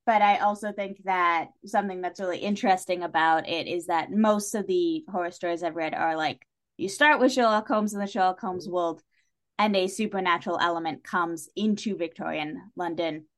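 A woman's voice: on the low side, normal speed. A woman talking casually as a podcast guest, opinionated and effusive, with emphatic delivery.

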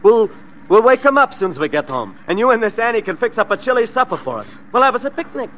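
An American actor playing a menacing, mocking fugitive killer giving orders in an old-time radio drama. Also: male voice, extremely high pitch, sing-song, fast, a bit bright, lo-fi sound, exaggerated voice. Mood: mocking, gloating.

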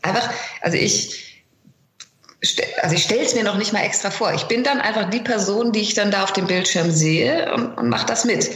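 A middle-aged woman talking as an interview guest in a colloquial, animated conversational way, as a working voice actress. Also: medium pitch, normal speed. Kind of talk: conversational interview, anecdotes and advice. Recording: roomy, echoing sound.

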